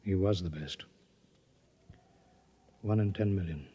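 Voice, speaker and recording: A man's voice: low voice, slow, mellow, slightly rough, full character voice. An actor playing a weary, terse man in command of a test project in a 1950s radio drama. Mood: grim, resigned. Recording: 1950s radio broadcast recording.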